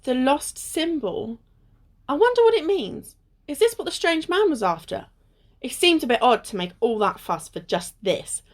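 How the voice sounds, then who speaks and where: A woman's voice: high voice, big pitch swings, normal speed, exaggerated voice. A woman acting a wary, bossy, teasing young adventurer in an amateur radio play. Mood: curious.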